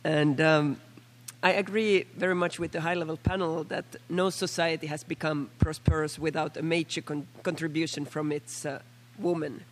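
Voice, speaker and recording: A Finnish-accented woman, hoarse from a flu, giving a halting formal statement as a member of parliament at a panel meeting. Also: low voice, steady tone. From Finland. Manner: halting, with many fillers and restarts.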